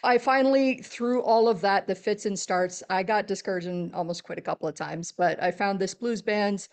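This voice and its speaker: A middle-aged woman with a deep, low-sitting voice chats as a podcast guest. She tells her stories with animation and an easy laugh, in a relaxed, conversational way. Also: moderate pace. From Texas. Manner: animated storyteller, laughs often. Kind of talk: casual interview chat.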